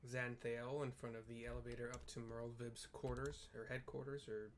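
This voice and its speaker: A man's voice: medium pitch, level tone, normal speed, slight background noise. A man narrating his gameplay in casual commentary, chatty and descriptive with playful asides. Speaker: gaming video narrator.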